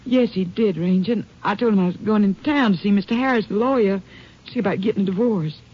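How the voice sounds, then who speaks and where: A woman's voice: mid-range voice, fast, lightly acted. Lady voicing a frightened farm wife, anxious, lo-fi old radio recording. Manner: anxious, pleading recounting. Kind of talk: 1950s radio drama acting. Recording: dull lo-fi old radio broadcast.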